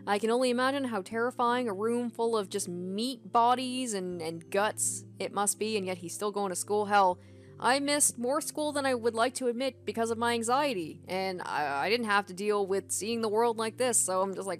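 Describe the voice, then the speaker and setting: A woman narrating a visual novel aloud as a let's player, expressive, with casual commentary. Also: on the high side, normal speed. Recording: background music under the voice. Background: game music.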